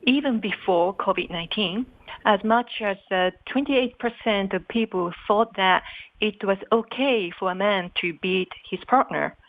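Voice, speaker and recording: A dry, dull phone line carries a woman speaking as an official guest. She gives formal, prepared answers in an interview at a middle pitch. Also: measured pace, no room sound. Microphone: phone call.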